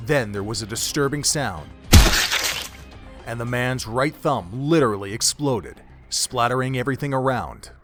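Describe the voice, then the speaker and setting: A man's voice: medium pitch, animated, moderate pace. A man reading scripted narration for an audio drama in a dramatic storytelling manner. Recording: music under the narration.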